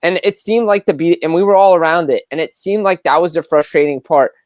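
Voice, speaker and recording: A man's voice: a little high, brisk, darkish tone, no echo. A man co-hosting a sports podcast, talking casually as he builds up to questions for a guest. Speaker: podcast co-host.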